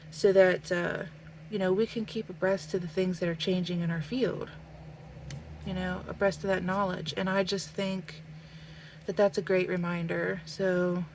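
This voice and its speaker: A woman's voice: on the low side, darkish tone, a little hiss or hum, low-quality recording. A young adult woman vlogging as she draws, talking casually and thoughtfully with lots of fillers, a little sniffly. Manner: slow, thoughtful, with many fillers. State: sniffly with a stuffy nose. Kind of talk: casual talk while drawing.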